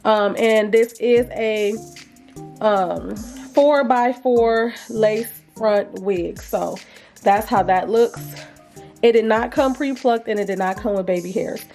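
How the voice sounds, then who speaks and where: A woman vlogger chatting casually and playfully to viewers about beauty products, with a slightly raspy, froggy voice and an informal manner. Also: medium pitch, slow pace, a little mellow, faint music behind. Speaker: vlogger reviewing wigs.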